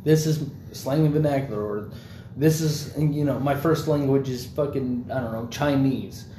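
A man's voice: medium pitch, moderate pace, warm tone. A young man in casual chat, rambling loosely with lots of fillers and swearing. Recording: echoey room, faint background noise.

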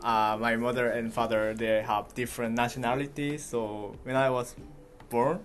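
A man's voice: medium pitch, flat delivery, moderate pace. A young man, a student, chatting in an interview, hesitant with many fillers. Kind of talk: casual interview chat.

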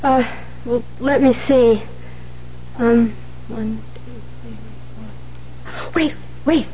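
High voiced nun, earnest and halting, muffled old radio drama sound. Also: female voice, fairly even intonation, mellow. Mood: anxious, unsure. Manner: halting, unsure, repeats words. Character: earnest, distraught, hesitant young nun. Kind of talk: 1970s American radio drama acting. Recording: muffled, hissy 1970s radio recording with hum.

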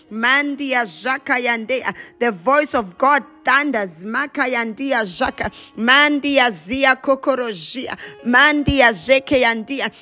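High-pitched woman preacher, exhorting over music. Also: sharp tone. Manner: exhortatory, repetitive, emphatic phrasing. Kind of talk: charismatic prayer sermon with chanted glossolalia.

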